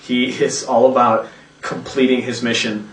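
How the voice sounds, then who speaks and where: A man's voice: mid-range voice, little pitch movement, unhurried. Casual man, distant in a roomy space. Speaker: voice actor. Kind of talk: casual behind-the-scenes chat. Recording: roomy, distant mic, faint noise.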